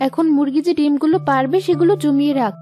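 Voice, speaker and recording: A woman's voice: high voice, fairly even intonation, fast talker, dark tone, faint music behind, close to an everyday voice. A woman voicing a loving, worried mother of a poor village family in a moral story cartoon. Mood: practical, motherly. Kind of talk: scripted children's moral story cartoon dubbing.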